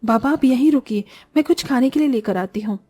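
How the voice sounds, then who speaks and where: Young orphan sister, voiced by a woman: very high pitch, earnest, expressive, cartoon fable. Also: a little fast, slightly rough, faint music behind. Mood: kind, earnest. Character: poor young orphan sisters, earnest, kind, easily exasperated. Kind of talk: Hindi cartoon moral-story voice acting.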